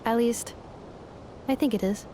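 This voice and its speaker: A woman's voice: fairly high pitch, unhurried, warm tone, a little hiss or hum, natural voice. A woman playing a composed, literal-minded female robot in a video game, speaking in precise, formal, clinical phrases. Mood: composed, analytical.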